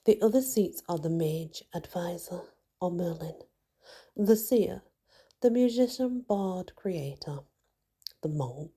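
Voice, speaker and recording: A woman softly whispering a scripted guided meditation in a hushed, soothing ASMR style, with many pauses. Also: on the low side, expressive. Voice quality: soft, breathy, mostly whispered.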